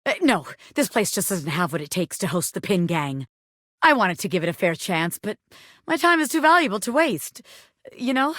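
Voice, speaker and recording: A woman voicing a cocky, bossy small-time gangster boss, a living pin in a video game, who talks tough but has a soft spot. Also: medium pitch, very animated, moderate pace, no room sound, studio quality. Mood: scheming, cocky. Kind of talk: video game character voice acting.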